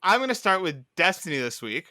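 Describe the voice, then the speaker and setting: A man's voice: high voice, very animated, brisk, bright, forceful tone, no echo. A man hosting a podcast with casual, jokey, rambling banter among friends. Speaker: podcast host.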